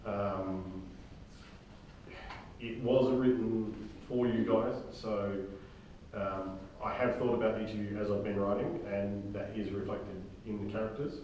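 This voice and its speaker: A man with a fairly low voice leads an informal briefing for a group of actors. He speaks haltingly and checks in often. He is picked up from far off in a very reverberant room with faint noise behind him. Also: fairly even intonation, darkish tone. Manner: halting, often tags sentences with "okay?". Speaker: director and writer leading a drama table read. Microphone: distant room microphone. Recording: very echoey room, distant mic, faint noise.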